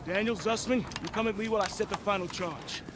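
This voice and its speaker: An actor playing a decisive, steady squad leader in a war game, giving orders in a brisk, commanding way. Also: male voice, sits high, lightly acted. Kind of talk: cinematic war game acting. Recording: loud outdoor vehicle and battlefield noise.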